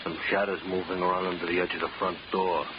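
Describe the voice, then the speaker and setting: An actor in a 1940s radio drama voicing a slow-witted henchman who parrots his partner. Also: deep voice, flat delivery, natural voice. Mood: eager. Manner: slow-witted, repeats others' words. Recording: muffled, very noisy 1940s radio recording.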